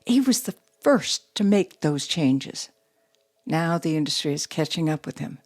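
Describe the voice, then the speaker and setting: An actress playing a composed, guarded young widow who runs a steel mill, speaking in a measured, matter-of-fact way in an audio drama. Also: female voice, low-pitched, animated, darkish tone. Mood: quietly proud.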